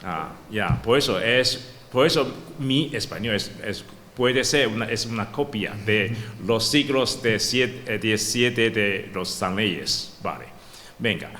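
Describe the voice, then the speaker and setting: A man from Taiwan giving a conference talk in halting non-native Spanish, with many broken-off words and restarts. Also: on the low side, animated, sharp tone. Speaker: panel speaker, researcher. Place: conference hall. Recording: long hall reverb, faint room noise.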